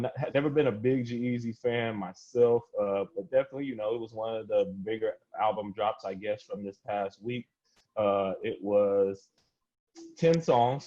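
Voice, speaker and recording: A man's voice: lowish voice, dark tone, dull audio. An American man hosting a podcast discussion in casual, slangy, joking and easygoing chat. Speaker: podcast host moderating the discussion.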